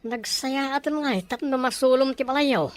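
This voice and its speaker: A woman's voice: high-pitched, normal speed, no room sound, close to an everyday voice. A woman playing a sly, greedy male gambler and schemer in an audio drama. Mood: gleeful. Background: loud music.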